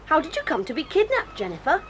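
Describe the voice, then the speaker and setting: A woman's voice: high-pitched, huge pitch swings, fast, a little hiss or hum. George, a bold tomboyish girl. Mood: brisk. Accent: British.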